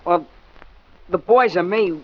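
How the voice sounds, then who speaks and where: A man's voice: high-pitched, slow pace. A man playing an earnest, bashful boy from a street gang, hesitant and polite, in 1940s radio style. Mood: sheepish, hopeful. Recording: muffled, faintly noisy 1940s radio recording.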